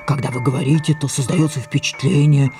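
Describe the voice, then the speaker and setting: An older actress playing an imperious, theatrical, aging great French actress in an audio drama, dramatic, commanding and mercurial. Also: female voice, rumbling low, steady tone, fairly quick, music in the background. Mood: grandly descriptive. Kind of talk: audio drama stage acting.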